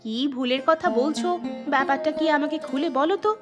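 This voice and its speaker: Cartoon housewife female actor, sceptical and scolding, very lively high voice, music bed. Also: brisk, close to an everyday voice. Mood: inquisitive. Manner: questioning, scolding, expressive. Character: sceptical, exasperated village housewife. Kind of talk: cartoon voice acting.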